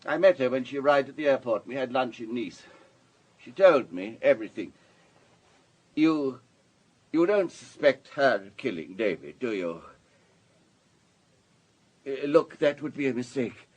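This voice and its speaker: An actor playing a wealthy, urbane businessman in his sixties in a radio drama, husky and discursive, with a worldly, paternal manner. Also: medium pitch, animated, a little slow, pauses often. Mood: earnest, confiding. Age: 60s.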